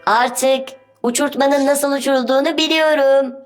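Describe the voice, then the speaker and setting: A woman's voice: sits high, fairly even intonation, normal speed, lightly acted. A woman voicing a cheerful, helpful young robot companion in a children's cartoon, chirpy, reassuring and a little teasing. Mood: instructive. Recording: faint music behind the voice. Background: faint cartoon music.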